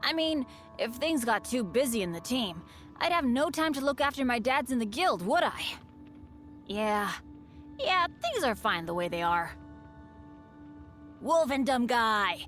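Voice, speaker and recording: A woman's voice: fairly high pitch, very animated, unhurried, long pauses, fairly bright tone, faint music behind. A woman voicing a cheerful, unlucky teenage boy adventurer in a video game, chatty, earnest and self-deprecating. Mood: friendly, chatty. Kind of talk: video game character voice lines.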